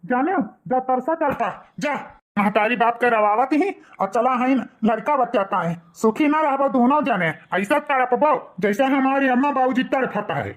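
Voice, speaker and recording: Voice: high voice, animated, normal speed. A performer voicing a bitter, outspoken young village man in a cartoon moral story, taunting and reproachful, with an Awadhi accent. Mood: bitter cursing.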